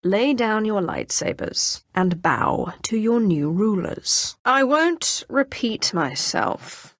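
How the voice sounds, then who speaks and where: A woman's voice: medium pitch, sing-song, slow pace, no echo, clean studio sound, full character voice. A woman playing a ruthless, haughty Sith inquisitor in a video game, commanding and menacing, with a mocking edge. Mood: cold menace. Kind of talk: scripted video game character lines.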